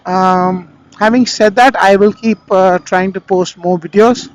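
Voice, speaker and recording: A man's voice: high-pitched, fairly even intonation, a little slow, low-quality recording. A man with an Indian accent gives a casual, rambling product review in a conversational way, speaking into a helmet intercom microphone. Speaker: motovlogger reviewing gear. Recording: helmet intercom mic with vehicle noise.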